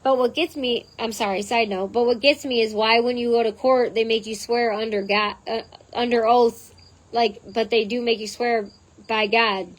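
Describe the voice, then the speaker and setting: A woman with a hoarse voice reading a text aloud and breaking off into chatty side notes, as the host of her own channel. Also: on the high side, fairly even intonation, normal speed, rough recording. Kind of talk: reading aloud with casual asides.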